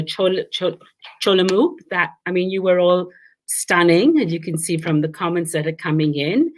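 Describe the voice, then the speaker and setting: A woman moderator introducing a speaker at an online event, in a halting way with repeated words. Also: on the low side.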